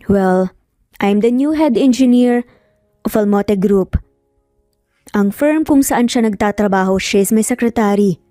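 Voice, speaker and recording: A woman's voice: mid-range voice, a little slow, long pauses, no echo, clean studio sound, natural voice. A woman with a Filipino accent voicing a serious, assertive young father in a narrated story. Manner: firm, serious, switches into English. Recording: soft music under the voice.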